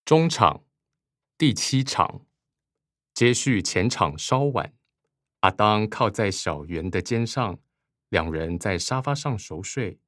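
Very deep male drama narrator. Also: expressive, slow, long pauses, fairly bright tone, no echo, clean studio sound. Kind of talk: scripted stage-direction narration.

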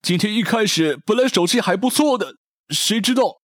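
A man's voice: high-pitched, expressive, moderate pace, a bit bright, no room sound, clean studio sound. A man playing a desperate, self-justifying middle-aged gambler and father in a radio drama, defensive in manner.

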